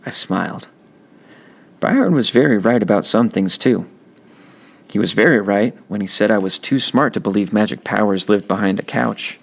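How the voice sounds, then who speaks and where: A man's voice: fairly low pitch, moderate pace, creaky. A man reading a children's novel aloud as scripted audiobook narration. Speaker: audiobook reader.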